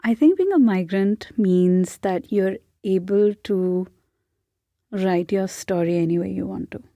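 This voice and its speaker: Young Indian-accented woman, interview guest, reflective and searching, mellow tone, dry close sound. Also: medium pitch, unhurried, dry recording. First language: Hindi. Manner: thoughtful, searching, with frequent restarts. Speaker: podcast guest.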